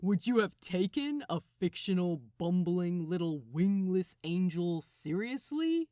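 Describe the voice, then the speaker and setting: A woman's voice: fairly low pitch, sing-song, measured pace, mellow, no room sound, clean studio sound. A woman voicing a smug, sanctimonious male angel in a storybook reading, impatient and taunting with a superior manner. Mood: mocking.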